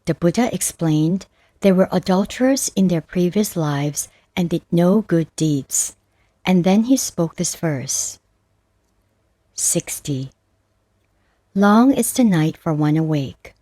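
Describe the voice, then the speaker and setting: A woman reading scripted spiritual stories and verses as a narrator, in a measured, solemn manner. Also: on the low side, lively intonation, warm tone, dry recording, low-quality recording. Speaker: audiobook narrator.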